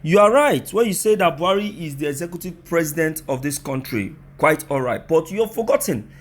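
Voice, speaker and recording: A man with a Nigerian accent and a fairly high voice delivers opinionated news commentary. He speaks straight to his listeners in an emphatic, rhetorical way. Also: lively intonation, a little fast.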